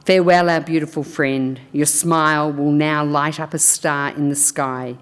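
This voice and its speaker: A woman acting as funeral celebrant, reading a tribute aloud in a slow, measured, solemn way. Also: low voice, fairly even intonation, clear, resonant tone. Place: funeral service hall. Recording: long hall reverb.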